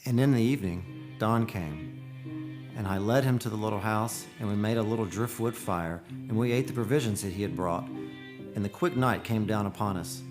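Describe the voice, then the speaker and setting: A man's voice: on the low side, moderate pace, lightly acted. An actor playing an earnest, lovestruck man who narrates a haunted romance in poetic radio-play style. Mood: measured storytelling. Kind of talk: radio play acting and dramatic first-person narration. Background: music.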